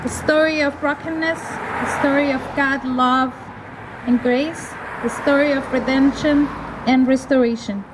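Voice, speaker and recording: Woman from el salvador sharing her story at a rally, slow careful phrasing, high voice, noisy outdoor recording. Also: steady tone. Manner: earnest, measured delivery with pauses. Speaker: rally speaker. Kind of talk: prepared testimony speech. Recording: outdoor recording with clear ambient noise.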